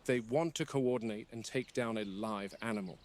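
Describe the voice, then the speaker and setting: An actor playing a serious game warden who narrates a formal, objective log in a found-footage horror film. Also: male voice, lowish voice, normal speed, a little mellow, natural voice. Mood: measured, grave. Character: measured, serious male game warden documenting dangerous predators.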